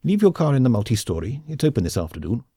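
A male audiobook reader voicing a big, slow-moving, pompous male office boss who blusters in an old-fashioned way, in a storybook reading. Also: mid-range voice, big pitch swings, warm tone, breathy edge, no echo, studio quality, pitched above the performer's own voice. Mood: blustering.

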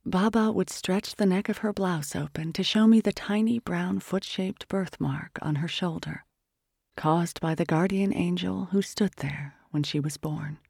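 Husky, gravelly audiobook narrator, often whispered. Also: medium pitch, no room sound, clean studio sound. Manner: hushed, often whispered, tense and measured. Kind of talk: first-person literary audiobook narration.

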